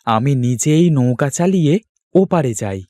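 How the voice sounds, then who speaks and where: A man's voice: a little high, lively intonation, normal speed, mellow, no echo, studio quality, close to an everyday voice. A man voicing a brave, determined young son in a dramatic cartoon story, speaking earnestly and emphatically. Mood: worried, resolute. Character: brave, determined young son searching for his lost father.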